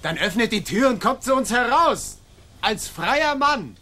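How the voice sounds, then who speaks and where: Bold, high-voiced young gentleman rebel. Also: sing-song, unhurried, exaggerated voice. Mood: calling out. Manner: declamatory, insistent. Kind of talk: German radio drama acting.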